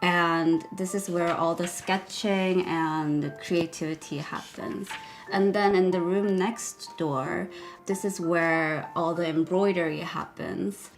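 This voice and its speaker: Young woman, fashion designer, low flat voice, slow reflective delivery, music underneath. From South Korea. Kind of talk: documentary interview.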